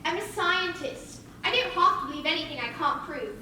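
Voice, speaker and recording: Casting: woman as articulate, emphatic science-loving girl, stage play; lively intonation, distant pickup with faint noise. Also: very high voice, normal speed, heavily acted. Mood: earnest, proud. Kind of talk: theatrical stage play acting. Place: theatre stage, recorded from a distance. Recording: echoey stage recording from afar, faint noise.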